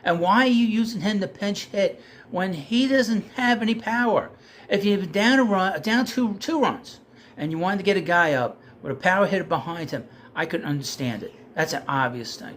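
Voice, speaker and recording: A man's voice: sits high, a little hiss or hum. A man ranting casually about his team, getting heated and losing his voice. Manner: heated, rising and louder when frustrated. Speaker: sports commentator. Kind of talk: casual rant.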